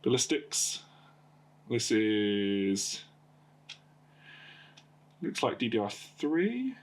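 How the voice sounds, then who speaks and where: A man with a cold and a croaky voice, hosting a casual PC repair video and talking hesitantly with many fillers while he works. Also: on the low side, big pitch swings, drawn-out words. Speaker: host of a PC repair channel. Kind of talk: casual hands-on repair talk.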